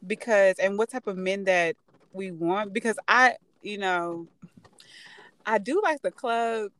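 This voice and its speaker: A woman's voice: medium pitch, measured pace, a bit bright, no room sound. A woman in her thirties co-hosting a podcast, talking loosely and circling back to restart her points. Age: 30s. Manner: loose, rambling, restarts lines. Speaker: podcast co-host. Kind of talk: casual chat.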